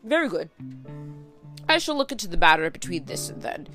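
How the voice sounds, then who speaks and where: Lady doing shrewd gentleman detective, storybook, brisk n sure of himself, formal, music behind. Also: fairly low pitch, big pitch swings. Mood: composed, formal. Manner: measured, formal, assured. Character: confident male detective, brisk, shrewd and composed.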